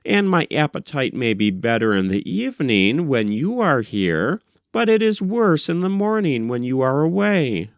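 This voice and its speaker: A man's voice: mid-range voice, big pitch swings, moderate pace, hardly any pauses, dry recording, voice pushed up. A man voicing a fretful, nervous young wife who meekly protests, in an audiobook story. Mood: plaintive, protesting. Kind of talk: audiobook character dialogue.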